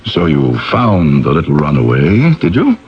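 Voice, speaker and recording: A man's voice: extremely low pitch, wildly swooping pitch, unhurried, full character voice. An actor playing a jovial but domineering writer and family man, urbane, wordy and theatrical, in a radio drama. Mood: jovial host. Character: jovial, domineering husband and father, a famous writer. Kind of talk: 1970s radio drama acting. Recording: dull 1970s radio broadcast recording.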